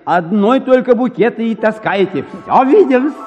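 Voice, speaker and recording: High-voiced, gleeful male gossip. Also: animated, normal speed, long reverb tail. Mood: gleeful, insinuating. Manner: teasing, insinuating, chuckling. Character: nosy, gleeful male acquaintance, teasing and gossipy. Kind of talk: theatrical radio drama acting. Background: music.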